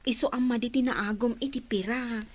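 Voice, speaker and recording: A woman's voice: on the high side, steady tone, normal speed, a little mellow, lightly acted. A woman acting a chatty, judgmental younger sister in an Ilocano radio drama. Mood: curious, disapproving.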